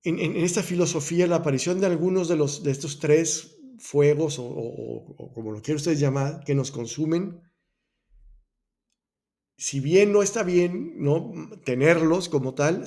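Guy with a soft mellow voice doing a solo growth talk, thinks out loud, keeps restarting and fixing his words. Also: fairly high pitch. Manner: thoughtful, self-correcting, many restarts and asides. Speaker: host of a personal growth podcast. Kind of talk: reflective solo talk on Buddhist ideas.

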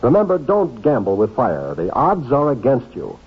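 Lively-pitched, husky vintage radio announcer man. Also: moderate pace. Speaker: public service announcer. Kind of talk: scripted 1950s radio safety announcement. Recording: tinny, phone-like old radio recording.

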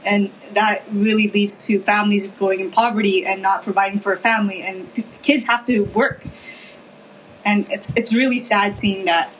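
A teenage girl from the Philippines speaking softly and hesitantly in a casual discussion, often repeating words as she searches for them. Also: mid-range voice, fairly even intonation, normal speed, a little hiss or hum, low-quality recording.

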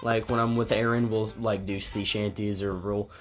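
A man's voice: fairly low pitch, flat delivery, normal speed. A young man hosting a casual podcast with friends, rambling with fillers and restarts while a guitar plays behind him. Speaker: podcast host. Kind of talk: casual chat among friends. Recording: guitar playing behind the talk.

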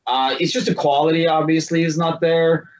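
A man's voice: a little high, fairly even intonation, normal speed, lo-fi sound. A man chatting casually and informally in a rambling way, as a panelist on a sports podcast. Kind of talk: casual chat.

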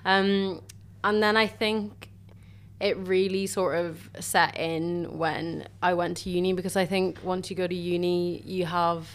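Young woman, lowish voice. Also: level tone, measured pace. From Northern Ireland. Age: young adult. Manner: chatty, warm, lots of "sort of" and "like". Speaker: university student. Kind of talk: casual faith testimony chat.

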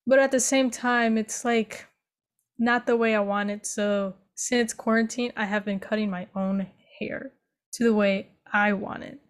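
A young adult woman telling a personal story in a plain, steady, unhurried way. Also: fairly high pitch. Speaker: panel speaker.

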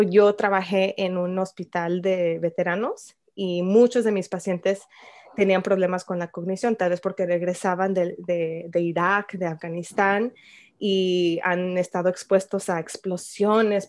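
Slow-speaking woman, lowish voice. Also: darkish tone. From Chicago, United States. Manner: unhurried, many fillers, light laughs. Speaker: bilingual speech-language therapist, guest. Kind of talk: relaxed interview answers.

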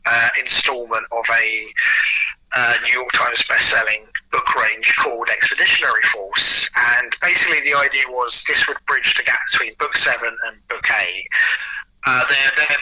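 Male interview guest, very bright forceful tone, husky edge, halting conversational storyteller who restarts his phrases. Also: mid-range voice, steady tone, no echo, low-quality recording. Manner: halting, repeats words as he starts sentences. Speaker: interview guest, audio producer and writer. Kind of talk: casual conversational anecdotes.

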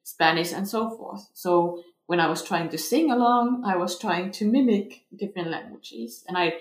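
A woman's voice: on the low side, normal speed. A woman in her fifties, a senior lecturer, answering in a reflective, storytelling way. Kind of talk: interview answer. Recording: echoey room.

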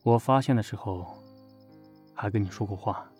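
A man's voice: fairly low pitch, normal speed, darkish tone, faint music behind, close to an everyday voice. A man in an audio drama playing a cold, jealous and possessive company boss with a curt, sardonic way of talking. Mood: probing, subdued. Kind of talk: amateur Chinese audio drama acting.

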